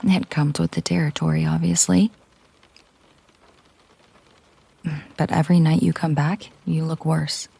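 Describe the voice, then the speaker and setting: A woman playing a possessive, coolly menacing mafia boss girlfriend in an intimate audio roleplay, teasing and commanding in a breathy, husky murmur. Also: sits low, level tone, brisk, warm tone, full character voice. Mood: protective, probing.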